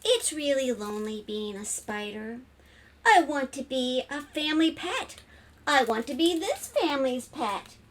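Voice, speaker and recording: Woman voicing an eager, high-pitched little storybook spider. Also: big pitch swings, unhurried, a little hiss or hum. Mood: wistful longing. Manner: pleading, eager, childlike showing off. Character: lonely, eager little spider longing to be a pet.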